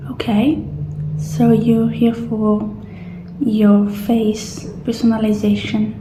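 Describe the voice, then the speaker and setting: A woman playing a calm, polite futuristic clinic receptionist in a soft-spoken, gentle, unhurried ASMR roleplay. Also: mid-range voice, echoey room, a little hiss or hum, rough recording. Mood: politely attentive. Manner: gentle, unhurried, reassuring customer-service talk.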